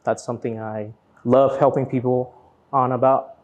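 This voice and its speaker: A man's voice: mid-range voice, level tone, moderate pace, a little mellow, dull audio. A man from Vietnam who grew up in Florida, giving casual, informal interview answers that explain things step by step. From born in Vietnam, grew up in Florida from age nine. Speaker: interview guest, business owner.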